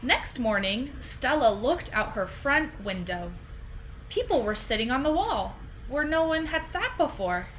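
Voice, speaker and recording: Woman in her thirties, very lively intonation, unhurried pace, children's read-aloud, noticeable background noise. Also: sits high. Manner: questioning, explaining simply to children. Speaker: teacher reading a picture book aloud. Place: indoors in a home room. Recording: clear background noise, home video call.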